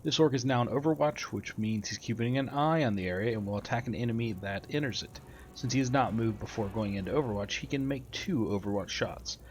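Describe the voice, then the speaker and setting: A man with a medium-pitched voice hosts a gameplay video. He moves between casual commentary and reading tutorial instructions aloud. Faint game ambience and noise run behind him. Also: a little fast.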